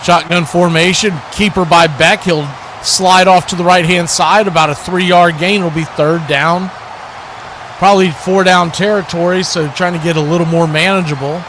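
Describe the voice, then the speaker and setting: A man doing live play-by-play football commentary for a stream, in clipped phrases that grow excited on big plays. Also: high-pitched, normal speed, noisy background.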